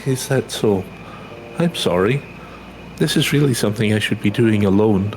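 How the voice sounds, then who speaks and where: A man's voice: fairly low pitch, normal speed, darkish tone, soft background music, natural voice, poor audio. A man voicing an insecure young adventurer from a fantasy game, hesitant, earnest and polite. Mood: earnest, brooding. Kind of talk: reading game dialogue aloud in character.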